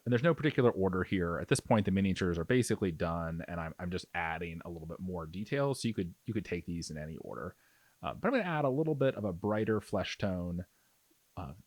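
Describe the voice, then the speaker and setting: A man's voice: fairly low pitch, no room sound. A man giving a casual hobby tutorial as an instructor, explaining things in an easygoing, reassuring way. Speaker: miniature painting instructor.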